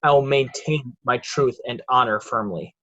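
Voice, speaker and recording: A man's voice: mid-range voice, normal speed, bright, forceful tone, dull audio. A man playing a proud, treacherous young nobleman in Shakespearean reader's theatre, with formal, declamatory delivery. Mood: defiant.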